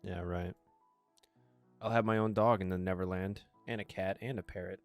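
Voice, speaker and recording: A low-pitched male gamer with a close, dry sound reads a story game aloud over background music. He drops in casual reactions and now and then stumbles on a word and corrects himself. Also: steady tone, moderate pace, gravelly, dry recording. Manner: stumbles over words while reading, self-correcting. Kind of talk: casual let's play, reading narration aloud and reacting.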